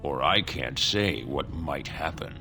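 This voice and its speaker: A low-voiced, smug extortionist from a fantasy video game. He takes his time, delivering threats with mock courtesy over faint music. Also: lively intonation, gravelly, heavily acted. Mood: smug threatening. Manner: slow, mock-polite, threatening. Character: menacing, smug male slum thug extortionist.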